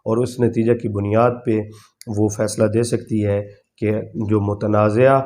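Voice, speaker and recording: A man with a Pakistani accent giving an unscripted legal lecture as an advocate, methodical and repetitive, with halting phrasing. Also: on the low side, level tone, a little mellow. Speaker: advocate explaining law.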